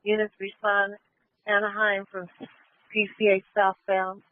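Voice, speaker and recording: A woman's voice: mid-range voice, monotone, a little slow. A woman working as a police dispatcher, giving clipped, procedural calls over the radio and repeating instructions. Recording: band-limited tinny police radio.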